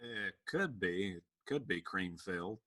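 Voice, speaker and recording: A man playing a teasing band member in a comic table read, with a deadpan delivery. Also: sits low, unhurried, full character voice.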